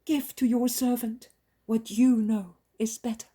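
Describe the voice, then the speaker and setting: A woman voicing an overjoyed healed noblewoman who cries out her devotion in a high, loud voice. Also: steady tone, a little slow, slightly rough. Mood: ecstatic.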